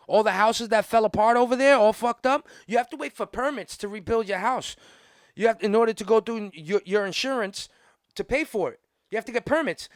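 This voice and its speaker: High-voiced American man ranting. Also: no room sound, clean studio sound. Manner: argumentative, emphatic, heavy street slang and swearing. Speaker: podcast host. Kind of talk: casual political rant.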